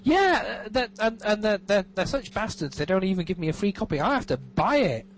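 A man's voice: high voice, sing-song, a little fast. An English man in casual conversation as a guest, rambling and self-mocking, full of restarts. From England. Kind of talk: casual interview chat. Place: living in California, recorded remotely.

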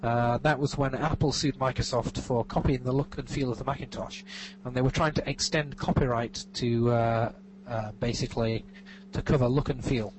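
A man's voice: mid-range voice, fairly even intonation, normal speed, hardly any pauses, slight background noise, poor audio. An English man in casual conversation as a guest, rambling and self-mocking, full of restarts. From England. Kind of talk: casual interview chat. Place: living in California, recorded remotely.

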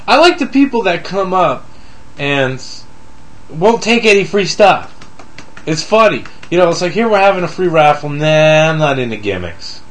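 A man's voice: a little high, big pitch swings, normal speed. A man co-hosting a podcast in casual, conversational group chat. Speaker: podcast co-host.

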